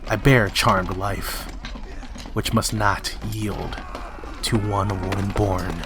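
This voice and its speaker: A man's voice: fairly low pitch, fairly even intonation, takes it slowly. A man playing a defiant, doomed warrior king in an amateur Shakespearean dramatic reading. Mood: menacing, scornful. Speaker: amateur reader in library staff dramatic reading. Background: music and battle sound effects.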